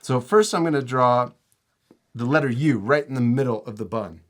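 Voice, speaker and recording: A man's voice: mid-range voice, normal speed. A man hosting a casual step-by-step art tutorial, giving encouraging, simple instructions for children. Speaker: host teaching drawing to children.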